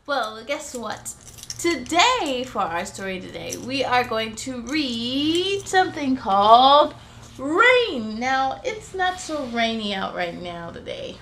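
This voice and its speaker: A woman's voice: fairly high pitch, big pitch swings, slow pace, a bit bright, slight background noise. A woman hosting a children's story time in a sing-song way, talking directly to young children.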